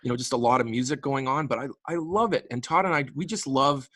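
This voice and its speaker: A man's voice: mid-range voice, quick pace, fairly bright tone, no echo, studio quality. A man in his late forties from the Canadian prairies, chatting as a guest musician in a casual interview, enthusiastic and rambling with frequent restarts. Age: late 40s.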